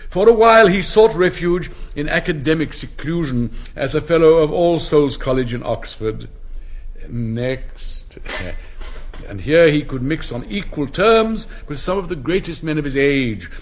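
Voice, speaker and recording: An actor playing a pompous elderly English headmaster who lectures in a mannered, declamatory, theatrical way. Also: male voice, on the high side, lively intonation, unhurried, a little hiss or hum. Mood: grandly lecturing.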